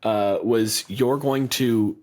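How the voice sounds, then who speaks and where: A man's voice: fairly low pitch, slow, a little mellow. A man co-hosting a podcast, talking in blunt, profane, argumentative casual chat. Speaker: podcast co-host.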